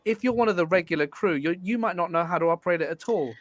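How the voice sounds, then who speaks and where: A man's voice: sits high, quick pace, no room sound. A man chatting informally and emphatically as a guest in a casual debate.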